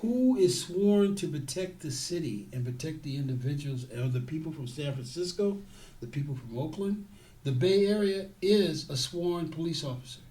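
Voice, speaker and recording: A man's voice: on the high side, lively intonation, moderate pace, dark tone, rough recording. A man who trains security guards, speaking in a casual interview and repeating his points for emphasis.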